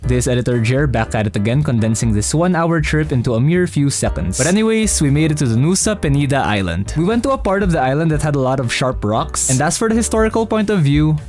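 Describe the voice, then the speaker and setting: Lively young man narrating over music. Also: on the high side, fast talker, few pauses, some chatter behind. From Philippines. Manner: playful, joking asides. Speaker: travel vlogger.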